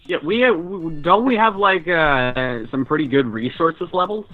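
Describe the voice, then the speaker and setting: A man's voice: on the high side, normal speed, soft background music. Blunt man on a muffled call. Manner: blunt, sweary. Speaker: tabletop game player. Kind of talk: casual group game chat. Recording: dull lo-fi voice call.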